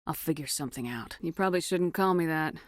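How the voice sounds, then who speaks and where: A woman's voice: low-pitched, quick pace, no echo, studio quality, close to an everyday voice. A woman playing a confident female military starship commander in a video game, with assured, clipped, dryly teasing authority. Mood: measured, wry. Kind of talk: scripted video game dialogue.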